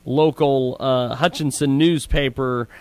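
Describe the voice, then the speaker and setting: A man hosting a live radio show, introducing guests and segments with frequent pauses. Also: mid-range voice, fairly even intonation, a little mellow.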